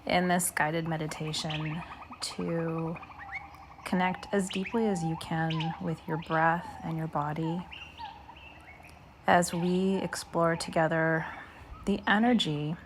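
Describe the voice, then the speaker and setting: A woman leading a guided meditation talk in a measured, deliberate way, speaking in short phrases with pauses. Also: fairly low pitch, background noise.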